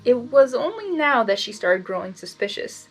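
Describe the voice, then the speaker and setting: A woman's voice: fairly high pitch, sing-song, moderate pace, breathy edge, soft background music, rough recording. A woman reading a story aloud as an amateur, with casual, giggly, self-deprecating asides and stumbles over words. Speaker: amateur fan fiction reader.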